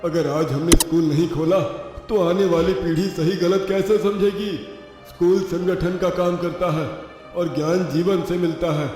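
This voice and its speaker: A man's voice: sits high, steady tone, moderate pace, close to an everyday voice. A man playing an earnest, idealistic villager making his case in a moral-story cartoon. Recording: very echoey hall, faint music.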